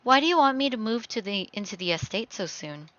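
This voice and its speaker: A woman voicing a grieving, defiant teenage daughter in a dramatic story reading, halting and emotional. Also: medium pitch, animated, fairly bright tone, no room sound, dull audio, lightly acted. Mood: hesitant. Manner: halting, pleading, rising to heated outbursts.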